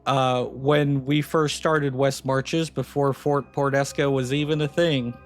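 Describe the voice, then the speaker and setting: A man narrating as game master in a tabletop game, relaxed and casual, often pausing to restart. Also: medium pitch, soft background music.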